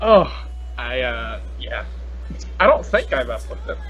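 A man's voice: medium pitch, big pitch swings, unhurried, a bit bright, soft background music. A young man chatting casually as a podcast host, with a playful, silly, teasing manner. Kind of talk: casual joking chat. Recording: noisy internet call recording.

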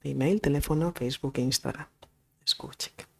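A woman's voice: extremely low pitch, normal speed, creaky, slight background noise. Woman, casual, chatty, table mic, conference room. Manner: informal, chatty, laughs easily. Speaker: grief therapist and speaker. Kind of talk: casual talk moderating discussion. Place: conference room of a cultural centre. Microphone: table microphone.

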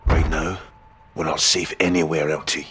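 An actor playing a gritty, cheeky special forces sergeant in a video game, with a Scottish accent and a wry, bantering delivery. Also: male voice, extremely low pitch, little pitch movement, normal speed, a bit bright, a little hiss or hum. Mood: tense, gritty.

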